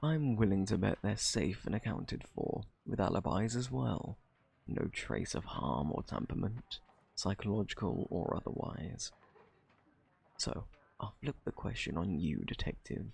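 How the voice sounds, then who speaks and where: Man acting a gravelly, flirty British thief. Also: lowish voice, expressive, a little mellow, full character voice. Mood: smug, challenging. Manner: playful, taunting, drawn-out theatrical delivery. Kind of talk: ASMR roleplay, intimate scripted monologue.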